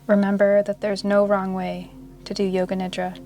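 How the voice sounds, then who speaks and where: A woman leading a guided yoga meditation in a soothing, measured way with long pauses. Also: mid-range voice, monotone, warm tone. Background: meditation music.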